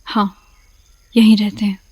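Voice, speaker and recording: A woman's voice: mid-range voice, flat delivery, unhurried, dark tone, husky. An actress playing a guarded young woman from a broken home who speaks in a wry, poetic way, in a studio radio play. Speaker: radio drama actor.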